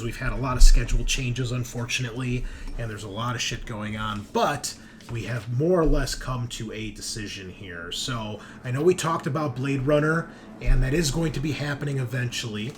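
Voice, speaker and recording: A man's voice: mid-range voice, normal speed. A man speaking casually and informally as game master and host, making announcements to listeners. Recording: noticeable background noise.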